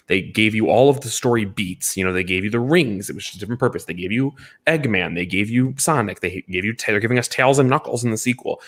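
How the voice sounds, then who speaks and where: A man co-hosting a casual film discussion, giving emphatic opinions and repeating points for stress. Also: lowish voice. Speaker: podcast co-host reviewing films.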